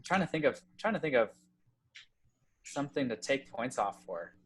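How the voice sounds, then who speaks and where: A man judging a music challenge over a video call, speaking in halting, repeated phrases and full of praise. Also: medium pitch, big pitch swings, husky. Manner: warm-hearted, halting, repeats himself. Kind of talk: casual video-call chat.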